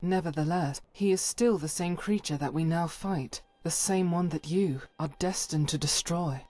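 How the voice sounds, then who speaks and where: A woman voicing a devout, principled warrior companion in a fantasy game, formal, measured and earnest. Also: on the low side, darkish tone, natural voice. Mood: thoughtful, uneasy. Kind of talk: scripted fantasy game dialogue.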